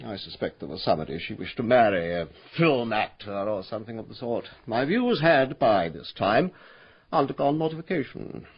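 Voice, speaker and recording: An actor playing a cold, sinister middle-aged aristocrat in a radio drama, pompous and disdainful, with an upper-class English accent. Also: male voice, medium pitch, lively intonation, normal speed, slightly rough, lo-fi sound.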